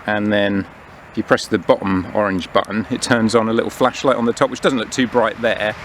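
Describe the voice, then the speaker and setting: A man's voice: on the low side, fairly even intonation, a little fast, slight background noise. A man in his thirties chatting casually and unscripted as he reviews gear, recorded outdoors on a camera mic. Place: outdoors in autumn woodland.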